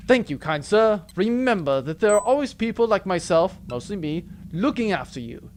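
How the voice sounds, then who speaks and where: A man's voice: sits high, sing-song, normal speed, a little hiss or hum. A man playing a boastful, greedy space pirate captain who speaks in a grand, pompous way. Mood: grandiose. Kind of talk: amateur audio drama acting.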